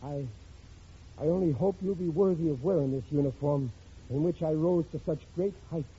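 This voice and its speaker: A man's voice: a little high, normal speed, mellow, husky. An actor playing a boastful, bumbling middle-aged father in an old radio sitcom, blustering and stammering when cornered. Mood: proud. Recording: muffled, hissy vintage radio recording.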